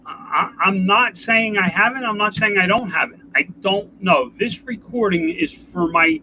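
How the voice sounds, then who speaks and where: An older man with a congested nasal voice, talking in a rambling, halting way in a casual home video update. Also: high-pitched, pushed and bright, slight background noise, poor audio. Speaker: vlogger. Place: outdoors.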